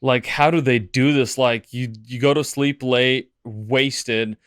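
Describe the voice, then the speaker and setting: Young male host with a smooth, dry voice. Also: medium pitch, slow, dry recording. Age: young adult. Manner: animated, casual, uses "like" often. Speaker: podcast host. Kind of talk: interview chat.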